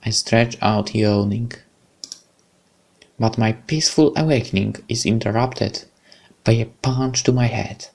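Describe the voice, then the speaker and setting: A man's voice: lowish voice, measured pace, creaky, long pauses. A young man with a Polish accent, reading a visual novel aloud and chatting, stumbling over words. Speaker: let's play host.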